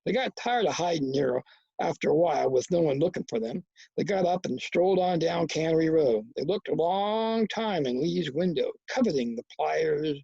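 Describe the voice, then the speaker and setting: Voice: medium pitch, gravelly, a little mellow, slightly rough, no room sound. A reader giving scripted narration of a novel, in a slightly muffled recording. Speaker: audiobook-style reader.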